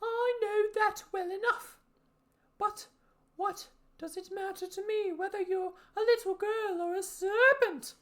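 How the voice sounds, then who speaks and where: A frantic storybook pigeon, with an extremely high, piercing voice. It scolds slowly and indignantly, stretching words into suspicious, shrieking complaints. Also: female voice, fairly even intonation, a bit bright. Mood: scornful, sulky. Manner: shrieking, drawn-out, scolding delivery. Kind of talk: storybook character voice.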